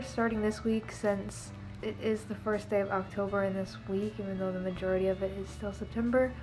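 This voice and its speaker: A woman's voice: mid-range voice, darkish tone, poor audio. Stuffed-up young woman vlogging casually. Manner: chatty and rambling, many fillers like "um" and "like". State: stuffed-up, snuffly nose from allergies. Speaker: beauty and costume vlogger. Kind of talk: casual chat to camera. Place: home living room.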